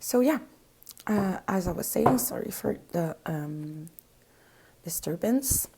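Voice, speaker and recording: A young woman with a French accent, a native French speaker, giving a spoken tarot reading in a soft, hesitant way, often dropping to a whisper and searching for words. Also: medium pitch, lively intonation, slow, creaky, breathy edge, low-quality recording. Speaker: tarot reader.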